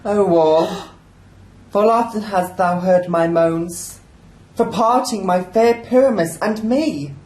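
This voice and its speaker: Lovelorn young maiden female actor, theatrical Shakespearean verse, mid pitch, unhurried, roomy sound. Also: a little mellow, close to an everyday voice. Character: lovelorn young maiden in a clumsy play-within-a-play. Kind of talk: amateur Shakespeare radio play. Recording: roomy, echoing amateur recording.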